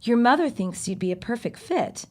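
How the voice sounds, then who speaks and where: A woman's voice: a little high, expressive, normal speed, darkish tone. An actress playing a genteel, composed society lady, formal and precise, in a period audio drama. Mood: poised, businesslike.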